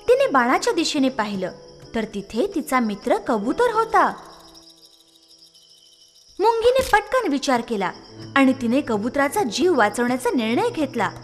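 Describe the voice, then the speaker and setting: Woman storyteller, high voice, animated intonation, children's story narration, reverberant, music behind. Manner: expressive storytelling.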